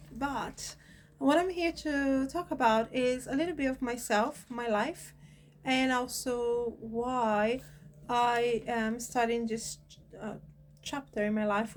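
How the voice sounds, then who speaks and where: Host, female, 40s, Brazilian Portuguese accent, second-language English, slightly high voice, hesitant unscripted delivery, light background hiss. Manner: hesitant, searching for words, many fillers. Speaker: channel host. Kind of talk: personal talk to camera.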